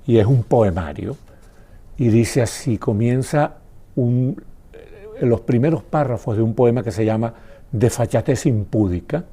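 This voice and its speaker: Male TV host, very dark mellow voice, mid pitch, conversational, curious questions. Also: measured pace, slightly rough. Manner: inquisitive, conversational, prompting with tag questions. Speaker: TV interviewer. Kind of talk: TV interview.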